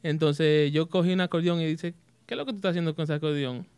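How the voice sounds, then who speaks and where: A man's voice: fairly high pitch, steady tone, quick pace, darkish tone, smooth, no echo. A man, a musician guest on a radio show, answering in hesitant Spanish with restarts and bits of mixed in. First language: English. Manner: hesitant, restarts words, mixes in English. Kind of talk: casual radio interview. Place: radio studio.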